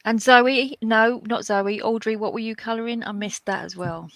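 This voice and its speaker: A woman's voice: fairly high pitch, steady tone, moderate pace, fairly bright tone, no room sound, faint music behind. A woman hosting a live colouring call from home, chatty and upbeat, greeting everyone by name. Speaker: host of the live colour-along. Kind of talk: casual chat. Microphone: video call.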